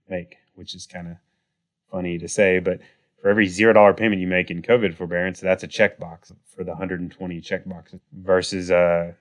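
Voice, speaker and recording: Male podcast host, low voice, congested and raspy from a cold, easygoing talk. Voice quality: raspy, nasal. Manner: relaxed, conversational, with fillers. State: has a cold, raspy voice and clogged nose. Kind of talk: casual podcast chat.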